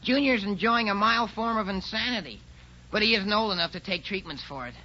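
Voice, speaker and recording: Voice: medium pitch, brisk, pushed and bright, natural voice. A performer playing a young boy who tosses out dry, mocking quips in 1940s radio comedy. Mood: sarcastic, teasing. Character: wisecracking, sarcastic young boy. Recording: muffled, faintly noisy 1940s radio recording.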